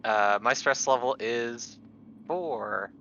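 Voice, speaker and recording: Slow-talking man on a muffled mic. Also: medium pitch, fairly bright tone, slight background noise. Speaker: player. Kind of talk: casual chat.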